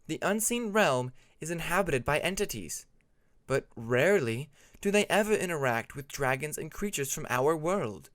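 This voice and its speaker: A knowing female dragon speaks in a raised, dry-recorded voice, performed by a male narrator. She is calm and frank, laying out dream lore with measured care in a fantasy story. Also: on the high side, no room sound, voice pushed up. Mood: reassuring.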